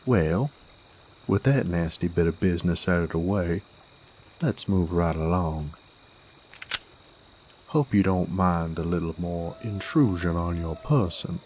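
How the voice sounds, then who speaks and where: Deep, slow-drawling Southern cowboy rogue, acted by a man. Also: darkish tone, slight background noise. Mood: smug, taunting. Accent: American Southern. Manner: drawling, folksy, teasing. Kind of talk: scripted roleplay audio drama.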